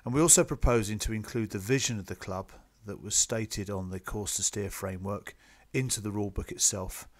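A man presenting club business to members in a measured, businesslike, explanatory way, partly from a script. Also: lowish voice. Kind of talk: slide presentation, semi-scripted explanatory talk.

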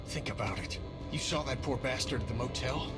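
A man's voice: medium pitch, brisk, over loud music, close to an everyday voice. An actor playing a hot-headed, desperate family man in a zombie video game, blunt, profane and insistent. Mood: grim, urgent. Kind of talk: dramatic video game voice acting.

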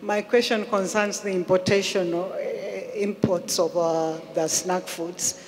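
A woman from Kenya asks her question in an inquiring tone, pausing now and then. Her voice sits at an ordinary pitch and echoes through a large hall. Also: steady tone. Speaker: audience member asking a question. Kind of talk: conference question. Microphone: handheld mic. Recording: reverberant conference hall.

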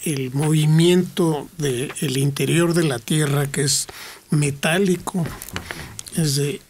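A man from Mexico explaining in a slow, halting way on a radio panel. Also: a little high, steady tone, darkish tone, a little hiss or hum. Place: radio studio.

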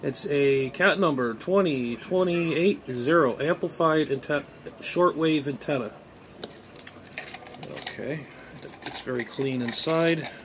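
A man's voice: a little high, slow pace. A middle-aged man talking casually and rambling, muttering to himself as he thinks aloud in an unboxing vlog, recorded on a phone in a small room. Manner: rambling, muttering to himself while handling items. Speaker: amateur radio hobbyist and vlogger. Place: small bedroom, indoors. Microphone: phone propped at arm's length. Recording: clear background noise, room ambience.

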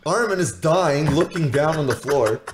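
High, lively man in an echoey room. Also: normal speed, background noise. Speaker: podcast co-host. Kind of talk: casual banter.

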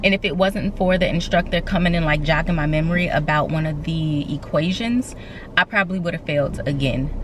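A woman in her thirties, an army medical trainee, chatting casually to her viewers from a parked car. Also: lowish voice. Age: 30s. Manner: chatty, confiding, addresses viewers as y'all. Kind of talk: casual vlog chat to camera. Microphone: camera on the dashboard. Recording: distant camera mic with background noise.

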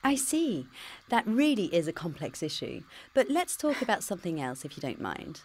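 A woman playing a smug, computer-generated future self who teases and dodges every question, in a British accent. The voice sits rather low but swings lively up and down. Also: moderate pace. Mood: teasing. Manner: cheeky, teasing and dodging questions. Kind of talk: pre-recorded game dialogue.

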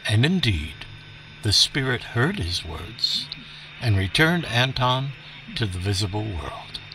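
Man playing a slow, theatrical professor storyteller. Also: mid-range voice, sharp tone, far from the mic, slight background noise, full character voice, poor audio. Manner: theatrical, unhurried, dramatic pauses. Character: eccentric professor storyteller reading to children over old radio. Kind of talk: dramatic children's storytelling.

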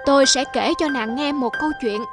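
A woman voicing a calm, conciliatory male god in a cartoon, soothing and moralising as a storyteller. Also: high-pitched, a little fast, music in the background.

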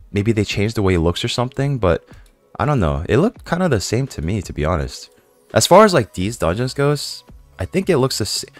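Low-voiced hoarse young man chatting gameplay. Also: no echo. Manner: chatty, rambling, many fillers and self-questions. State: hoarse from a cold. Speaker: gaming YouTuber. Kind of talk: casual game commentary to viewers.

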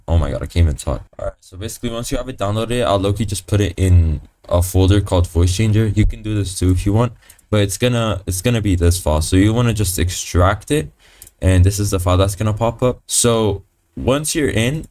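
Young man, lowish pitch, casual tutorial voice-over, slangy, informal, swears. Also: darkish tone. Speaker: tutorial presenter. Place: voice-over on a computer screen recording.

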